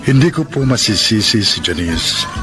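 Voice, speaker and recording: An actor with a Filipino accent playing a practical, working-class young suitor in a radio drama, with an earnest, pleading manner. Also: male voice, on the low side, sing-song, moderate pace. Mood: resigned, sorrowful. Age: young adult. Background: faint music.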